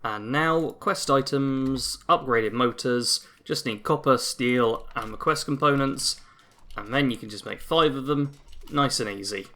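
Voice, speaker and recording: A man's voice: mid-range voice, unhurried, a bit bright. A man giving casual, chatty commentary as he plays a game, saying he is getting a cold. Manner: chatty, self-narrating. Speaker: gaming YouTuber. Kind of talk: gameplay commentary.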